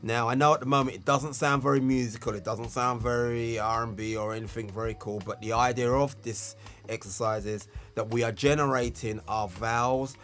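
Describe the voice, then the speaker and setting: Male tutor, mid-range voice, clear step-by-step instruction with stressed key words, some music behind. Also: medium pitch, steady tone, fairly quick, fairly bright tone. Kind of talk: instructional tutorial on talkbox technique.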